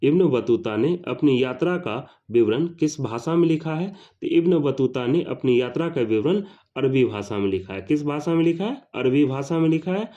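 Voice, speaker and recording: A male teacher works through exam questions, repeating each question and each answer. His voice is warm and mellow. The recording sounds dull and lo-fi. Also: medium pitch, lively intonation, normal speed.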